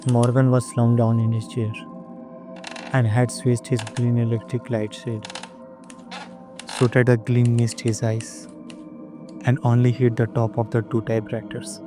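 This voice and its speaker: An actor playing an uneasy, watchful night manager in an audio drama, with measured, brooding delivery. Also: male voice, medium pitch, little pitch movement, music in the background, lightly acted. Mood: reflective. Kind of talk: audio drama narration and dialogue.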